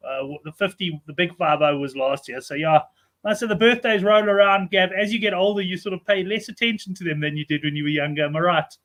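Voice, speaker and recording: A man in his fifties hosts a rugby betting chat show. His voice sits high and the words tumble out quickly. He keeps things friendly and chatty. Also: a bit bright, low-quality recording. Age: 50s. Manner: chatty, friendly, fast stream of talk.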